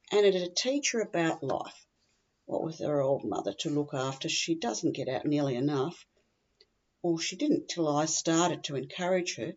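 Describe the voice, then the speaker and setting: A woman's voice: deep voice, a little mellow, dull audio. A woman voicing a chatty, tactless, scatterbrained gossip with an Australian accent, rambling bluntly to a friend in a humorous story monologue. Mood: gossipy, tactless. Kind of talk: humorous monologue, performed reading.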